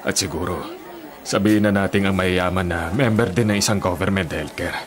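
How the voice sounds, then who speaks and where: An actor playing a sympathetic, indignant Filipino delivery rider, an older friend, in a plain-spoken radio drama delivery. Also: male voice, low voice, brisk, crowd noise, close to an everyday voice. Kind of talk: Tagalog radio drama acting.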